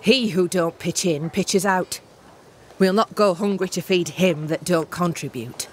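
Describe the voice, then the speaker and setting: An actress playing a tough, blunt frontier camp leader in a video game, speaking curtly. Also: female voice, lowish voice, a little slow, a little hiss or hum, lightly acted. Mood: stern, matter-of-fact. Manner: curt, commanding. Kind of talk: video game cutscene acting.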